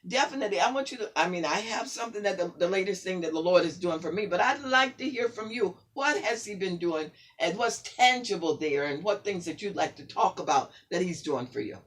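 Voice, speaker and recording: A woman's voice: lowish voice, animated, a little fast, hardly any pauses. Woman pastor hosting a faith conversation. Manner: warm-paced, affirming, quotes scripture conversationally. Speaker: pastor and host. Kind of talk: faith-centered casual conversation.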